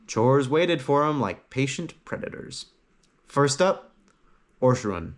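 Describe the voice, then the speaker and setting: Male audiobook narrator, animated pitch, dramatic phrasing with deliberate pauses. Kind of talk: scripted story narration.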